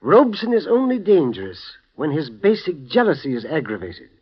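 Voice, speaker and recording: An actor playing a calm male psychiatrist in a 1950s radio drama, talking with a soothing bedside manner, on an old broadcast recording. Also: a little high, moderate pace, breathy edge, highs cut off, full character voice. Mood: explaining. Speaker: doctor.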